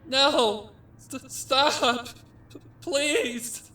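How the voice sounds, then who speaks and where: A man's voice: very high voice, very slow, pushed and bright, reverberant, far from the mic, pitched above the performer's own voice, exaggerated voice. A man voicing a frightened young filly pony who cries out and pleads frantically in an audio story. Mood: hysterical.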